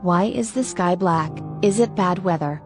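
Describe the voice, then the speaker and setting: A puzzled, alarmed cartoon character, acted out by a man, with pitch pushed up well above normal talk. Faint music sits behind. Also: unhurried.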